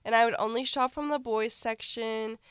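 A woman's voice: on the high side, steady tone, normal speed, smooth, dry recording, clean studio sound. A teenage girl co-hosting a casual podcast, stuffy from a cold, rambling through stories with lots of "like". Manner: rambling storyteller, heavy use of "like". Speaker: podcast co-host. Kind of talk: casual chat.

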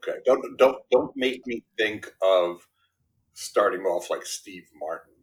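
A deep-voiced man in his fifties, raised in Brooklyn, with Spanish as his first language. He talks casually as a podcast guest. He is self-deprecating and keeps checking in with short tag questions. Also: measured pace, slight background noise, low-quality recording. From Brooklyn, New York. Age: 50s. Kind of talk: casual interview conversation.

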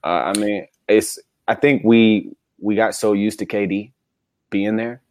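Deep-voiced laid-back man chatting sports. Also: fairly even intonation, a little slow. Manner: laid-back, slangy, conversational. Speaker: sports podcast co-host. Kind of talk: casual sports chat.

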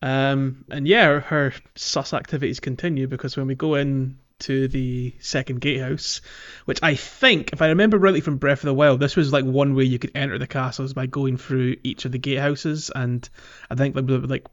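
A man's voice: medium pitch, steady tone, moderate pace, hardly any pauses, muffled recording. A man hosting a podcast, chatting casually and explanatorily through a video game playthrough. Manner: conversational, explanatory. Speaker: podcast host. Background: clear music.

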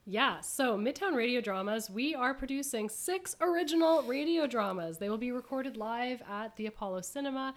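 A woman hosting a conversational interview, speaking haltingly with many ums. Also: a little high. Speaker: radio host.

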